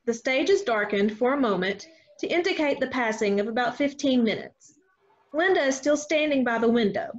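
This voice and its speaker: A woman with a slightly high voice reads stage directions in a scripted narration style. The audio is dull and lo-fi, like a video call. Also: expressive, normal speed.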